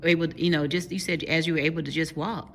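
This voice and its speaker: Woman, interview host, low voice, curious, direct questions. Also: fairly even intonation, fast talker, a little hiss or hum.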